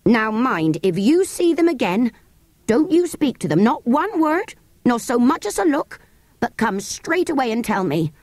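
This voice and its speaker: A woman's voice: fairly high pitch, very animated, unhurried, darkish tone, no room sound, voice pushed up. A woman voicing a stern, indignant nursemaid who scolds emphatically in a storybook reading. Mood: angry scolding. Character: scolding, scornful English nursemaid, sharp and indignant.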